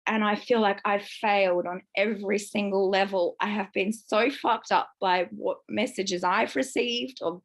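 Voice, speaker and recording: A woman in her fifties with a croaky voice, sharing raw, distressed feelings in a book club and swearing as she vents. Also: mid-range voice. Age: 50s. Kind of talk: emotional personal sharing.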